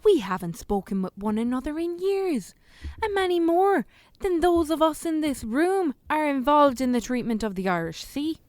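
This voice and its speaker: A woman's voice: sits high, lively intonation, moderate pace, a little mellow, dry recording, clean studio sound. A woman acting a sceptical, indignant parliament delegate in a radio play, formal and challenging.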